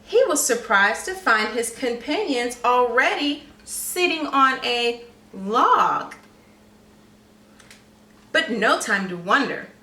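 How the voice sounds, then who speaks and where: A woman reading a story aloud to young children with dramatic, deliberate phrasing and questions to the listeners. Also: fairly high pitch, big pitch swings, sharp tone. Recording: echoey room, distant mic, faint noise.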